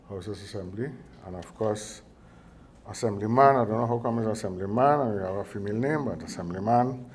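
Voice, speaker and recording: A man's voice: on the low side, a little mellow, a little hiss or hum. An older man with a Trinidadian accent giving a ceremonial speech, chatty and joking, wandering off into anecdotes with plenty of fillers and restarts. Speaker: university chairman at signing ceremony.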